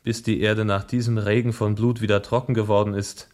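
A man playing a principled, proud revolutionary in a radio play, speaking with earnest, deliberate stage diction. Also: lowish voice, level tone, darkish tone, natural voice. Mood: grave, challenging. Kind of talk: dramatic radio play acting.

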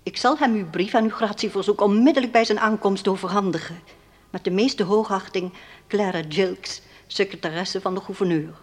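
An actress plays a governor's secretary in a radio drama. Her voice sits at a middle pitch. She delivers official correspondence with formal, businesslike courtesy. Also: moderate pace, lightly acted. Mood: formal, polite.

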